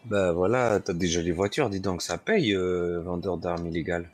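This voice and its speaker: A man's voice: sits low, normal speed. A man in casual improvised game roleplay as a gang underling, talking in chummy, hesitant slang.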